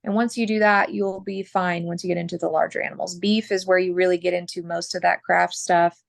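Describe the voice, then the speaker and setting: A woman from Oklahoma, a butcher, talking frankly as a podcast guest while losing her voice and clearing her throat. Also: on the low side, flat delivery, a little fast, dry recording. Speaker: butcher and mobile processor owner.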